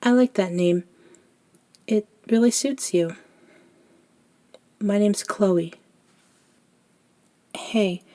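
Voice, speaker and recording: A woman playing a gentle, reminiscing elder vampire in a scripted ASMR roleplay, speaking softly and intimately with thoughtful pauses. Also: on the low side. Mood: friendly, curious.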